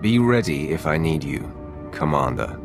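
An actor playing a confident, coolly sardonic Sith apprentice in a video game, self-assured and clipped. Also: male voice, rumbling low, lively intonation, moderate pace, music in the background.

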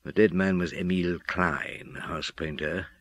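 A deep-voiced brooding detective narrator. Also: male voice, steady tone, moderate pace, fairly bright tone, dry recording. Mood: intent, musing. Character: brooding middle-aged male police detective narrating his case. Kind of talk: radio drama first-person narration.

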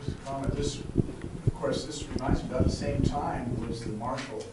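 A man in the audience making a brief, hesitant, quiet comment. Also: medium pitch, steady tone, normal speed. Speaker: audience member. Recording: distant, roomy, with loud noise and clicks.

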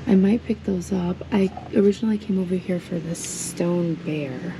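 A woman's voice: fairly low pitch, moderate pace, dark tone, rough recording. A woman narrating a casual shopping vlog while browsing an antique mall, chatty and admiring, with frequent ums, recorded on a handheld camera. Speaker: reseller vlogger. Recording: fan noise in the background.

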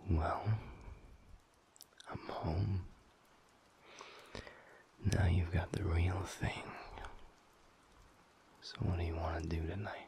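A man playing a teasing, affectionate werewolf boyfriend in an intimate audio roleplay, with a breathy, gravelly murmur and a playful mock-stern manner. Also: low-pitched, level tone, slow pace, long pauses, noisy background, heavily acted. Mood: relaxed, affectionate.

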